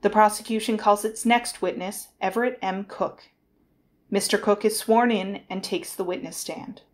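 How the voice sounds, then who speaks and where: A woman's voice: medium pitch, fairly even intonation, normal speed. A woman delivering formal scripted narration as the narrator of a trial reading.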